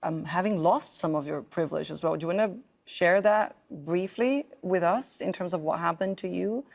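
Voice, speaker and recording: A woman hosting an interview show, asking questions in a measured, halting way. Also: on the low side, gravelly, darkish tone. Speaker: interview host.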